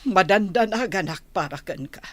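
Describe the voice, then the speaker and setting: A woman's voice: medium pitch, lively intonation, normal speed, dry recording, lightly acted. A woman with an Ilocano accent playing a worried, careful village mother and wife in a radio drama. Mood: anxious pleading.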